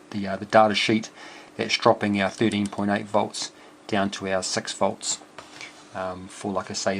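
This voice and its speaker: A man giving an informal technical walkthrough as a hobby builder, thinking aloud in a hesitant, halting way. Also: on the low side. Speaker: amateur radio builder presenting a project. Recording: clear steady background noise.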